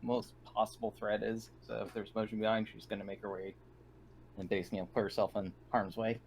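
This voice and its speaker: A man's voice: fairly low pitch, level tone, fast, gravelly, a little hiss or hum, rough recording. A man playing a tabletop roleplaying game, speaking in formal, precise wording as he plays his part. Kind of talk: casual roleplaying, partly in character.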